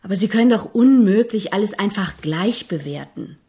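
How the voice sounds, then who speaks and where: An actress playing an earnest, persistent young composition student in a German radio drama, questioning politely but insistently. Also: female voice, mid-range voice, lively intonation, normal speed, mellow, close to an everyday voice.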